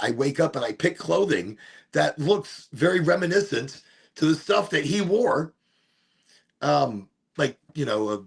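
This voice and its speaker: A man with a deep, gravelly voice, rambling through a casual monologue as host of a smoke session and wandering from one topic to the next. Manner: rambling, wanders off topic, breaks into off-key singing.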